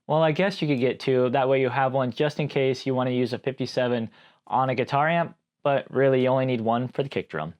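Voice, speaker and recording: A man's voice: mid-range voice, fairly even intonation, fairly quick. A man giving a casual, conversational explainer on gear as an informal video host. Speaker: host, live sound engineer.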